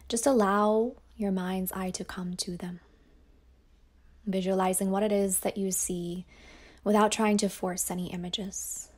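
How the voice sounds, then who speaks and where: A woman teacher guiding a yoga nidra meditation in a slow, soft voice that often drops toward a whisper. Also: mid-range voice. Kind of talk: guided meditation.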